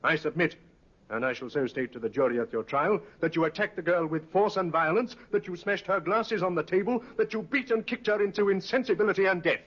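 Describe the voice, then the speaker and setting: Police inspector, old radio drama, stern, drily sarcastic, widely swinging intonation, dull vintage sound. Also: male voice, on the high side, fairly bright tone. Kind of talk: 1950s radio drama acting.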